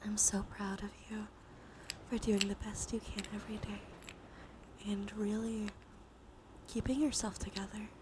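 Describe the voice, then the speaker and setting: A woman doing live ASMR in a soothing, intimate, encouraging way, drifting between breathy whispers and soft speech. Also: mid-range voice, little pitch movement, measured pace, long pauses. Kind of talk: ASMR, whispered and softly spoken reassurance. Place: small warm room with computers running. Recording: noisy room ambience behind voice.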